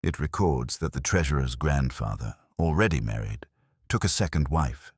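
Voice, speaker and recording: A very low-voiced, mild police inspector played by a male narrator, calmly laying out his case with gentle, deliberate point. The voice is husky, recorded dry and close, in the manner of a detective audiobook. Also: dry recording, full character voice. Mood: measured, revealing. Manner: measured, gently pointed speechmaking. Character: mild, calm male police inspector delivering quiet reveals.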